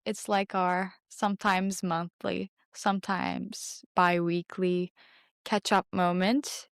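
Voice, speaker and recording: A teenage woman from Turkey, a podcast producer chatting as a guest, bubbly, with quick laughs and trailing pauses. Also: lowish voice, flat delivery, creaky, smooth, no echo, clean studio sound. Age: teens. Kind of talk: casual interview chat.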